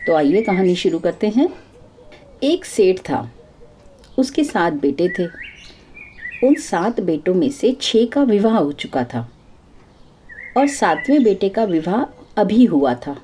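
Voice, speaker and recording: A woman's voice: medium pitch, very animated, slow, pauses often, warm tone, slight background noise. A woman storyteller narrating a scripted folk tale.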